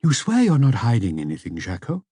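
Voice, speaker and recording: Audiobook girlfriend, thirties male reader acting her: lightly raised voice, welcoming yet anxious, animated inflection. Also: medium pitch, brisk, slightly rough, no echo, clean studio sound. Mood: serious, anxious. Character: woman of thirty-four, hospitable but anxious girlfriend.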